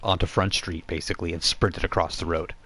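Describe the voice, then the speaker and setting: A man with a very deep voice acts as game master. He improvises his narration for a tabletop role-playing game, describing each scene in a halting storyteller's manner. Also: little pitch movement, pushed and bright.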